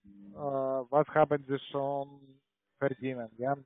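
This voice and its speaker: Halting foreign salesman on a tinny phone line. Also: mid-range voice, little pitch movement, dry recording. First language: not German. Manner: halting, repeatedly tagging sentences with "ja". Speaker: call centre trading salesman.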